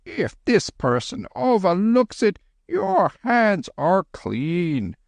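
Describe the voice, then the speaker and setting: A man voicing a gentle, serene old Tibetan holy man who speaks slowly and solemnly. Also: high voice, huge pitch swings, creaky, dry recording, voice pushed up, exaggerated voice. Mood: mild. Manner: slow, mild, solemn.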